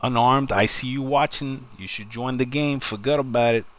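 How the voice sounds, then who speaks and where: A man's voice: medium pitch, moderate pace, a bit bright. A man commenting on a live shooter game, chatty and full of wiseguy catchphrases, greeting teammates and viewers by name. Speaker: game streamer.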